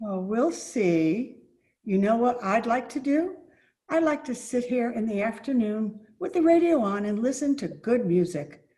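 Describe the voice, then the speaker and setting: A woman playing a genteel former fiancée in 1940s radio comedy style, coy and gently chiding. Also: fairly high pitch, lively intonation, a little slow.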